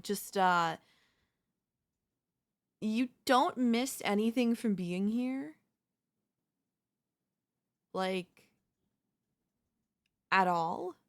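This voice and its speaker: A woman playing a teasing, possessive young woman in an ASMR roleplay, with a smug, coy, drawn-out delivery. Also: mid-range voice, creaky, exaggerated voice. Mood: needling, disappointed. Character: teasing, possessive young woman, former childhood bully next door.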